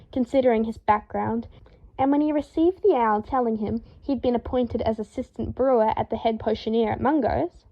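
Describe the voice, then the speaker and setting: A woman reading a story aloud in a lively, expressive way, acting out the dialogue. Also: a little high, highs cut off. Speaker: fan fiction reader.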